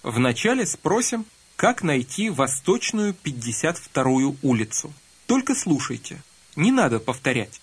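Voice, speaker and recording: A man narrating a scripted language lesson, calmly prompting the listener to repeat phrases. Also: a little high, a little slow, breathy edge. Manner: calm instructive prompting. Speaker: language course instructor.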